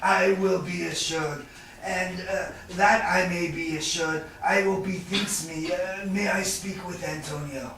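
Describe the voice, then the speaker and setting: Actor as sardonic stage moneylender, slow, toying, chuckling delivery, gravelly edge, very echoey room. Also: male voice, high-pitched, fairly even intonation, bright, forceful tone, heavily acted. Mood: mocking. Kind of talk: staged reading of Shakespearean drama. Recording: distant echoey room with faint noise.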